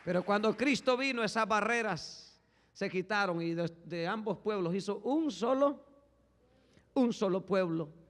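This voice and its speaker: A male pastor preaching a fervent sermon, swinging from hushed tones to shouting and repeating "brothers" as a refrain. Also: high voice, lively intonation, measured pace. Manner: repeats "hermanos" as a constant refrain. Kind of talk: Spanish-language sermon. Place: church sanctuary. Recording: long echo of a large hall.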